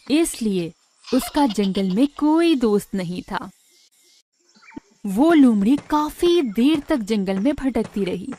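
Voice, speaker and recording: A woman's voice: fairly high pitch, dark tone. A woman narrating a scripted moral story for children.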